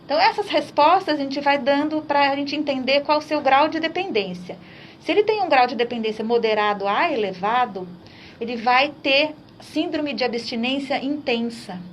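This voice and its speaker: A woman's voice: high voice, expressive, moderate pace, a little hiss or hum. A Brazilian woman doctor explaining treatment on a radio interview, with many restarts. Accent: Brazilian Portuguese. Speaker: doctor guest.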